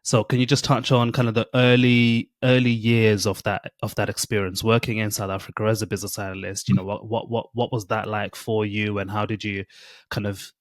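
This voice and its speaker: A man hosting an interview, asking questions encouragingly and building them up slowly. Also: on the low side, level tone, no room sound. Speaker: podcast host. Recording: dry close sound.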